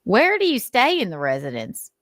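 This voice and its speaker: A calm male police officer, as a woman acts him out, persistent and matter-of-fact. The questions are probing, with lively pitch movement and a dry, close sound, in a dramatic reading. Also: normal speed, no echo.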